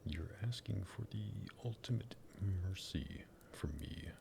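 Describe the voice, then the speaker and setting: A man playing a sadistic, possessive vampire master in an ASMR roleplay, in a breathy, gravelly whisper with a teasing, condescending drawl. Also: low-pitched, lively intonation, measured pace, noisy background, full character voice. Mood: teasing, taunting. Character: sadistic vampire master, possessive and menacing, toying with captive lover.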